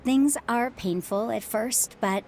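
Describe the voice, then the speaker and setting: A woman playing a calm, reassuring, philosophical middle-aged mother and former adventurer in a video game. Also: fairly high pitch, slow, a little hiss or hum.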